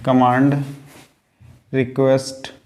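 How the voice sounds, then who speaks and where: Male Indian teacher, very unhurried delivery, mid-pitched, explaining grammar didactically with repeated phrases and rhetorical questions. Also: completely flat delivery, darkish tone. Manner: didactic, repeats phrases and asks himself questions then answers. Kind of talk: classroom grammar lesson, explaining step by step.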